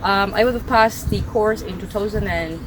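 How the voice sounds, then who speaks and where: A woman's voice: mid-range voice, normal speed, a crowd in the background. A young woman from Barbados with a Barbadian accent, a football referee, answering interview questions openly.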